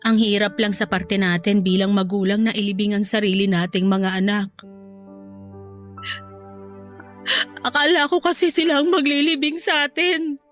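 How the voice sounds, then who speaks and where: A woman's voice: medium pitch, level tone, a little fast, soft background music, close to an everyday voice. A woman playing a tender, remorseful wife and mother in grief, speaking earnestly and emotionally in a radio-style drama. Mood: sorrowful.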